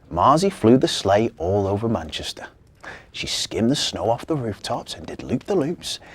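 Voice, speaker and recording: Children's story narrator: hugely varied intonation, breathy husky edge, expressive reading. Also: mid-range voice.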